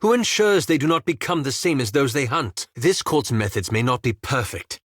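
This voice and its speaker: An actor playing a cautious, scholarly elf wizard in a video game, speaking in precise, formal phrases with dry politeness. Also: male voice, mid-range voice, animated, moderate pace, no room sound, natural voice. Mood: dry, reproachful. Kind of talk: video game character dialogue.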